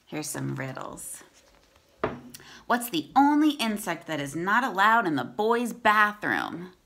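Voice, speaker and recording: A woman's voice: on the low side, sing-song, slow pace, creaky, pushed and bright, a little hiss or hum. A woman, reading aloud to children as a teacher and chatting casually between passages. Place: room at home.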